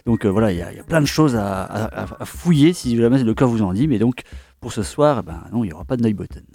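A man's voice: on the low side, animated, fast, creaky, warm tone. A man chatting on a radio music show as a guest, hesitant and full of fillers. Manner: hesitant, lots of fillers and repetitions. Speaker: radio guest, fellow host. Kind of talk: casual radio chat. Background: faint instrumental music.

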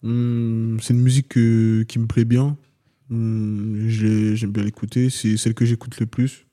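Young man from Guadeloupe, interviewee, halting, dark monotone. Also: on the low side. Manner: hesitant, many fillers. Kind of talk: casual answers to questions.

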